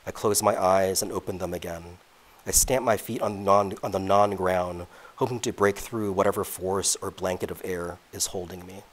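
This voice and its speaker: A man reads from his own novel at a public event. His voice is very deep, and he takes the prose at a measured, deliberate pace. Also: steady tone. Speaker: author reading his novel. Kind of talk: reading aloud from a novel.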